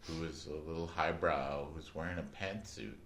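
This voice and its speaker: A man from Canada co-hosting a casual podcast, telling stories with lots of swearing and loud laughs. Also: rumbling low, moderate pace. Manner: animated storyteller, swears a lot, laughs loudly. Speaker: podcast co-host. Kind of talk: casual chat. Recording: echoey room with faint ambient noise.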